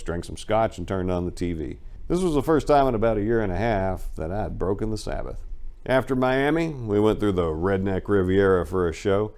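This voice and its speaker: A man's voice: lowish voice, darkish tone. A man giving a scripted dramatic reading of a first-person memoir, as an audiobook narrator. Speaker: audiobook reader.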